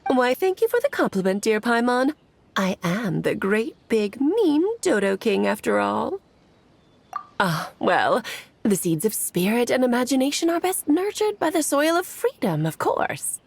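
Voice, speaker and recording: A woman's voice: a little high, very animated, measured pace, vocal fry, heavily acted. An actress playing a playful, theatrical grown witch in a video game, eloquent, airy and teasing. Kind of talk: video game cutscene acting.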